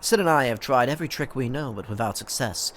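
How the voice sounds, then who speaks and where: A man's voice: medium pitch, expressive, quick pace, fairly bright tone, a little hiss or hum, close to an everyday voice. A man with a stuffy nose reading the lines of a formal young male scholar from a game. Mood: earnest.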